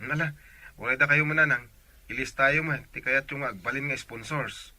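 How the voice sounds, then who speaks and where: An actor playing a brisk, insistent grown son in an Ilocano radio drama, speaking with an Ilocano accent. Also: male voice, mid-range voice, sharp tone, dry recording. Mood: eager.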